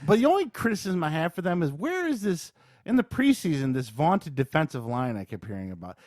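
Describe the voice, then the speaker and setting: A man's voice: fairly high pitch, sing-song, a little fast, darkish tone, no room sound, clean studio sound. A man co-hosting a podcast, talking sports in an informal, opinionated, conversational way. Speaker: podcast co-host. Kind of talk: casual sports chat.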